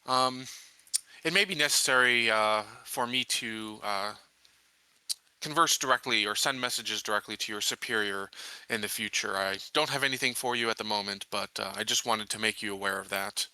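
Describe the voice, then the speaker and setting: A man's voice: fairly low pitch, normal speed, bright, forceful tone, clean tone, no echo, rough recording. A man playing a courteous, cautious colony leader in a tabletop game, speaking formally and politely with hesitations.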